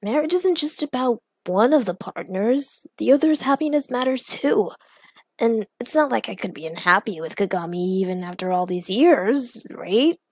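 A woman voicing an anxious young man who questions himself in a hesitant, halting way. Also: on the high side, expressive, slightly rough, no room sound. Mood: uneasy, deliberating.

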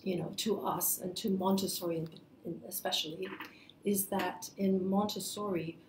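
A woman's voice: medium pitch, fairly even intonation, moderate pace, reverberant, noisy background. A woman from Hong Kong, a school founder, giving earnest, explanatory answers in a live interview. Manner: earnest, explanatory, with many fillers and restarts.

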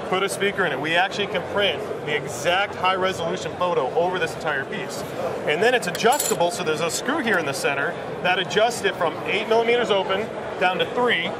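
A man's voice: high voice, fairly quick, very noisy. A middle-aged American man casually explaining a product in an interview, as a company executive. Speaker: company president presenting a product. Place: busy exhibition hall booth, indoors. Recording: loud trade show crowd behind.

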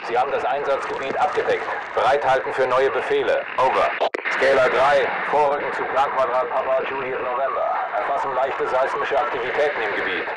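A man's voice: mid-range voice, steady tone, a little fast. An actor playing a composed male ground controller in video game radio chatter, formal and procedural over a military radio. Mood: composed, businesslike. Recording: very noisy military radio transmission.

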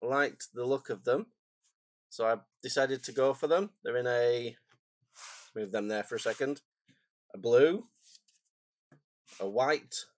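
A man, croaky and phlegmy from a cold, chatting casually and rambling through a stationery unboxing at his desk. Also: mid-range voice, dry recording. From England. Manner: rambling, self-deprecating, chatty asides. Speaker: stationery vlogger. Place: home desk, indoors.

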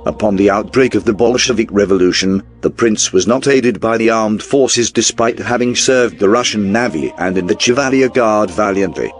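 A man's voice: on the low side, normal speed, hardly any pauses. Man narrating a newsreel documentary over music. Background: background music.

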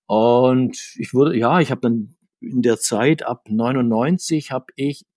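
A middle-aged man with a slightly husky voice answers questions in a loose, rambling way, often breaking off and restarting. His pitch jumps up when he stresses a point. The sound is dry and close, like a video call from an indoor room. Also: slow pace, mellow, dry recording. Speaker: comics author and guest. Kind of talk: conversational interview answers.

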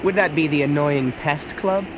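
A man's voice: fairly high pitch, normal speed, noisy background, lightly acted. A man playing an earnest, plucky young dragon hero in a video game, boyish, with dry retorts. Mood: wry. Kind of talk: video game cutscene acting. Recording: music behind the voice.

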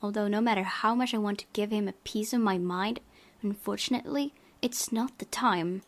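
Creaky-voiced young woman reading aloud. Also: medium pitch, normal speed. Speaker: let's play host. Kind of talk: reading visual novel narration aloud.

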